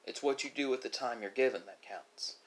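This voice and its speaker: Man musing slowly in a halting monologue. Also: medium pitch, poor audio. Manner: philosophical, halting, with pauses and self-corrections. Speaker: ASMR video creator. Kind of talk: unscripted musing monologue to viewers during a mukbang.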